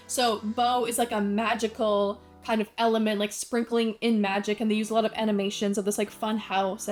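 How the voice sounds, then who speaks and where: Young female design vlogger, chatty and self-deprecating, slightly high voice, soft music bed. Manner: chatty, many fillers, self-deprecating asides. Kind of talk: casual commentary on brand design.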